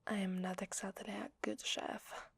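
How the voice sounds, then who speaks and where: A woman with an Italian accent playing a shy, secretly needy vampire lady in a hesitant, breathy whisper for an ASMR roleplay. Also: medium pitch, little pitch movement, normal speed, no room sound. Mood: modest, hesitant. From Italy. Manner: hesitant, polite, soft-spoken. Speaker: ASMR voice actor.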